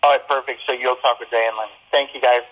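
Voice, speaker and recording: A man's voice: medium pitch, steady tone, a little fast, a bit bright. A man speaking informally as a board member at a public meeting. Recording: dull lo-fi teleconference audio.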